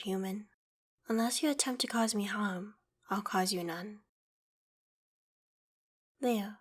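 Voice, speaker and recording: A woman voicing a proud, vain ancient dragon in human form for an ASMR roleplay drama, with formal, haughty, theatrical phrasing. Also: mid-range voice. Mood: gentle, reassuring.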